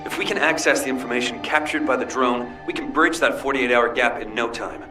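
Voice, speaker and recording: A man's voice: medium pitch, fairly quick, close to an everyday voice. A man playing a cool, pragmatic, aloof military engineer in a video game, with a dry, curt delivery. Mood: assured, instructing. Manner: dry, curt, matter-of-fact. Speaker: drone designer. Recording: distant voice under clear music.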